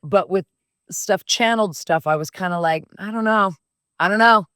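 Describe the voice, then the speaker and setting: A woman's voice: mid-range voice, moderate pace, clear, resonant tone, no room sound, studio quality. A woman, hosting a podcast and telling a personal story in a blunt, emphatic, swearing-laced casual style. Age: 50s. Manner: blunt, profane, animated and emphatic. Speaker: podcast host.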